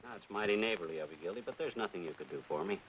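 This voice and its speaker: An actor playing a folksy, gullible middle-aged husband who wisecracks in a 1940s film comedy. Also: male voice, deep voice, lively intonation, rapid-fire, fairly bright tone. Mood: folksy, earnest. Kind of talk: 1940s radio-style film comedy acting. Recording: muffled, hissy 1940s film soundtrack.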